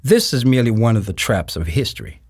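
A man playing a grave narrator of a historical radio play, reading scripted narration in a measured, deliberate, formal way with pauses. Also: on the low side, lively intonation, a little mellow, breathy edge, dry recording, clean studio sound. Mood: grave, reflective. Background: music.

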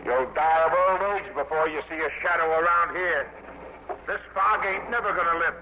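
An actor playing a blunt, cynical, bitter American soldier with a gruff way of talking in a 1950s radio drama. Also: male voice, fairly high pitch, moderate pace, close to an everyday voice. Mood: bitter, sarcastic. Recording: dull, noisy 1950s radio broadcast.

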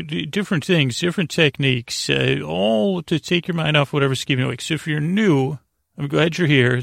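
A man hosting a bedtime podcast in a lulling, creaky voice, meandering along with halting pauses and repeated words. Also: mid-range voice, fairly bright tone, no room sound, studio quality. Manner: lulling, soothing. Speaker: podcast host. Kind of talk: rambling bedtime sleep podcast intro.

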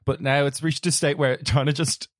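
Casting: hoarse gravelly young guy, loose podcast chatter, dry close sound. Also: fairly high pitch, little pitch movement, fairly quick, no echo. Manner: rambling, laughs easily. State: hoarse from shouting the night before. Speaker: podcast co-host. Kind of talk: casual chat.

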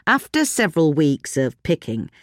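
A woman's voice: low-pitched, sing-song, normal speed, no echo, clean studio sound. A British woman reading a gardening magazine article aloud as scripted narration.

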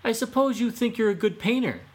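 A man voicing a sly, mocking male fox trickster in a storybook reading, in a high, loud, teasing tone. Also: animated, a little fast, husky, heavily acted.

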